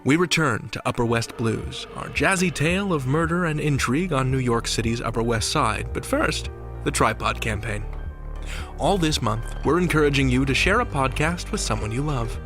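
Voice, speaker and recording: Male host, scripted narration, lively intonation, storyteller delivery, music bed. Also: mid-range voice, moderate pace. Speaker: podcast host.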